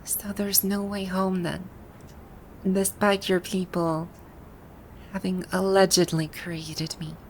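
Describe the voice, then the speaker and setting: A woman's voice: fairly low pitch, level tone, slow, slight background noise, low-quality recording. A woman playing a haughty, easily flustered female final-boss villain from a video game in an ASMR roleplay, with an imperious, indignant manner. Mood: dejected. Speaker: voice actor.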